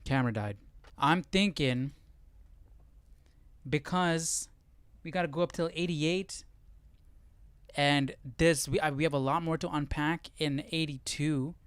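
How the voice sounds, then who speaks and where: A man's voice: a little high, clear, resonant tone, dry recording. Male livestream host, casual Bible study, clean resonant voice, very dry sound. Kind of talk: casual livestream talk and reading aloud.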